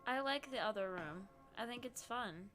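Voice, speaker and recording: A woman's voice: on the high side, lively intonation, normal speed, music in the background. A young woman with a stuffy nose, playfully teasing a game as she streams. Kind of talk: casual gameplay commentary.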